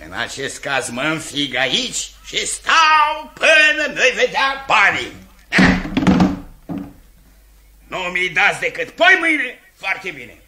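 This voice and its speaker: An actor playing a boorish, hot-tempered landowner and creditor, blustering and grumbling in 1950s radio theatre style. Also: male voice, high-pitched, wildly swooping pitch, hardly any pauses, noisy background. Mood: insistent, exasperated. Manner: bellowing, blustering, grumbling to himself.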